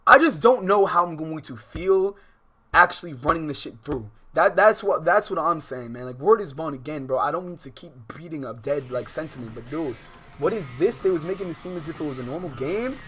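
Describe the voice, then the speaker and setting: A man's voice: fairly high pitch, expressive, brisk, a bit bright. Slangy, exclamatory man streaming over music. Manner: repeats phrases insistently. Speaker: livestreamer reacting to a game. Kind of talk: casual livestream commentary mixed with reading game text aloud. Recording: music behind the voice. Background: background music, clearly heard.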